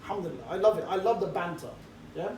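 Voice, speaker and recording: A middle-aged man, giving a relaxed, jovial briefing to a group and mixing in cheeky banter. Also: high voice, very fast. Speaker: street preaching trainer. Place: indoor room with a group. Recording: roomy echo, distant mic, faint background noise.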